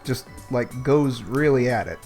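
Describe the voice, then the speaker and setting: A man's voice: medium pitch, expressive, unhurried, darkish tone, faint music behind. A man commenting casually on a podcast with a dry, wry way of talking.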